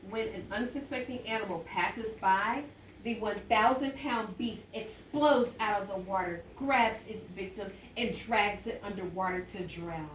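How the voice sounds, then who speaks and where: A woman's voice: medium pitch, a little slow. A woman teaching an elementary class through an interactive read-aloud, instructing and prompting children with questions. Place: indoor classroom. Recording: echoey classroom, distant mic, faint noise.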